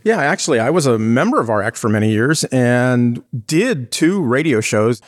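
A former stage actor telling animated stories in an interview. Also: male voice, mid-range voice, normal speed, no echo, clean studio sound. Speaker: guest, former theatre actor. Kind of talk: casual interview answers.

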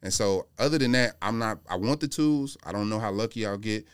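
A middle-aged American man talks casually as a podcast guest. His voice is deep and smooth, and he rambles through slangy anecdotes. The recording is close and very dry. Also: a little fast, dry recording. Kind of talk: casual chat about sneakers. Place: close-miked podcast studio.

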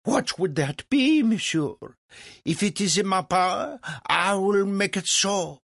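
A man's voice: high voice, unhurried, vocal fry, a bit bright, dry recording, clean studio sound, pitched above the performer's own voice, full character voice. A man voicing a pompous, boastful French host in a storybook, with a grandiose, emphatic manner. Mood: eager, insistent.

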